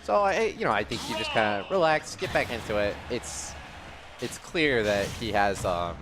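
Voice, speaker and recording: A man's voice: fairly low pitch, lively intonation, moderate pace, music in the background. A man giving casual, analytical live commentary on a video game match, conversational and reacting to the plays.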